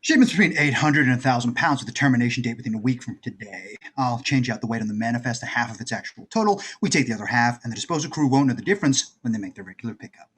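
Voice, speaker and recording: A man playing a brisk, confident heist planner in a screenplay table read, matter-of-fact and decisive. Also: medium pitch, animated, a bit bright, husky. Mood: businesslike.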